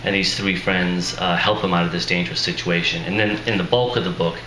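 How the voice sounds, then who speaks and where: A man's voice: deep voice, fairly even intonation, brisk, pushed and bright. A man, an author at a live reading, introducing his book in a casual, self-deprecating way with chuckling asides and a voice that sounds worn out. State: strained, tired voice. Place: live reading venue with audience. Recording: echoey room, noisy ambience.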